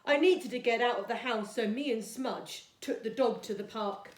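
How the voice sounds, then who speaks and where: A woman's voice: fairly high pitch, moderate pace, lightly acted. A woman voicing a glum, resigned working-class father who is out of work, in a storybook. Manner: glum, resigned, plain-spoken. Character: weary, downhearted jobless working-class father. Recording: echoey, roomy sound.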